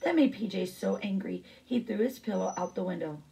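Middle-aged female teacher for storybook read-aloud, low creaky voice, picked up from a little way off. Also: a little fast, warm tone, far from the mic, poor audio. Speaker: teacher reading a picture book aloud.

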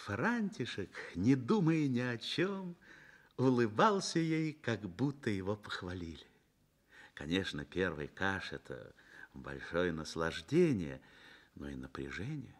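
Expressive male radio-play narrator. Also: fairly low pitch, a little slow. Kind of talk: dramatic literary narration in a Soviet radio play.

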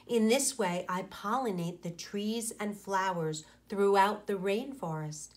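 A woman's voice: mid-range voice, unhurried, lightly acted. A woman voicing a little bee in a storybook, earnest and explanatory in manner. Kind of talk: storybook read-aloud.

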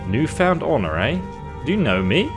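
A man's voice: a little high, very animated, normal speed. A man in his thirties giving casual gameplay commentary with dry, wry humor. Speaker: video game YouTuber. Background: game music.

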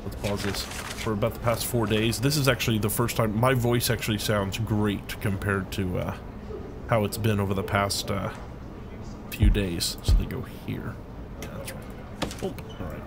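A man's voice: on the low side, fairly even intonation, moderate pace. A man hosting a game let's play, rambling as he thinks aloud and humming to himself, his voice a bit scratchy from being ill. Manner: rambling, thinks aloud, hums filler tunes. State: recovering from illness, a bit scratchy. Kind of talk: casual gameplay commentary.

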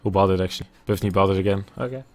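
A man's voice: low voice, fairly even intonation, racing, darkish tone, soft background music. A young man with a Dutch accent, casting a video game match on his own at home in a loose, chatty way, thinking aloud and swearing now and then. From Netherlands. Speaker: esports caster. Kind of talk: solo play-by-play game commentary. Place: indoors at home. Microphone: earbuds and webcam at a desk.

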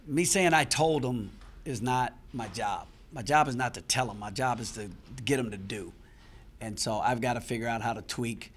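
A man's voice: lowish voice, slight background noise. A middle-aged man, a basketball head coach, speaks frankly and with some frustration at a post-game press conference, restarting phrases as he thinks. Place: press room before a sponsor backdrop. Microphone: handheld mic on a stand close in front.

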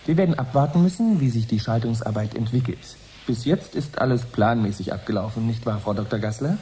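An actor playing a composed broadcast host in a radio drama, interviewing guests with formal courtesy. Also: male voice, mid-range voice, brisk, warm tone, lightly acted. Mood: composed, inquiring. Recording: faint background noise.

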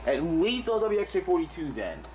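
A man comments casually and spontaneously as he films indoors on a phone. His pitch moves around a lot, and there is clear noise in the recording. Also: sing-song, normal speed, darkish tone. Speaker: video maker, weather radio hobbyist. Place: bedroom indoors. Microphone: handheld phone behind the camera.

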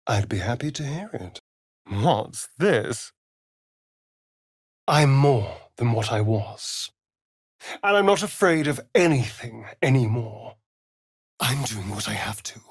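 A man's voice: a little high, dry recording, clean studio sound, full character voice. A man playing a sardonic, theatrical male vampire rogue in a video game, with an arch, drawling delivery. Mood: sly, teasing, then intense. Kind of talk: video game character acting.

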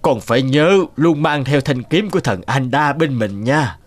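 A man's voice: medium pitch, a little slow, a little hiss or hum, lightly acted. A man playing a protective old king and father in a cartoon, solemn and paternal in manner. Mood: worried, protective. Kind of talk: cartoon voice acting. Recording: faint music behind.

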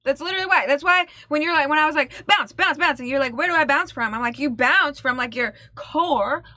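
A woman in her thirties with a high, bright voice that swings up and down a lot. She is excitable and cheery as she chats through a reaction on a couch in a small living room. The sound is close and dry. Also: brisk, no room sound. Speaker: co-host of a music reaction channel. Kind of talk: casual reaction chat.

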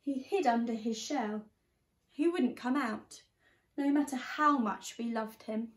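High-voiced young woman reading in an echoey room. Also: measured pace. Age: young adult. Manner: expressive, addressing young children. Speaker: teacher reading a picture book to children. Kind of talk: storybook read-aloud.

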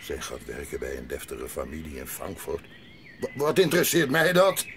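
An actor playing a gruff, grumpy old mountain grandfather in a Dutch audio drama, barking and muttering to himself. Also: extremely low pitch, wildly swooping pitch, moderate pace, a bit bright, slight background noise, heavily acted.

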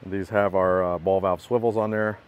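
A man's voice: low voice, steady tone, moderate pace, clean tone. A man giving a plainspoken, matter-of-fact walkaround of a work truck, outdoors in a parking lot, filmed on a phone he holds himself. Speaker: equipment builder giving a walkaround. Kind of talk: casual product walkthrough.

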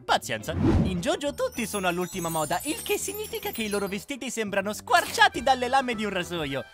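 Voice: medium pitch, big pitch swings, brisk, music in the background. A narrator reading a scripted comedic parody in a sarcastic, emphatic way.

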